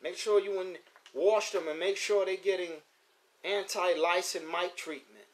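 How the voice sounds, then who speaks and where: A man's voice: high-pitched, fairly even intonation, a little slow. A man in his fifties hosting a live stream about his pigeons, talking casually and repeating points for emphasis. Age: 50s. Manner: loose, repetitive, emphatic street-casual talk. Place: at home near his pigeon loft.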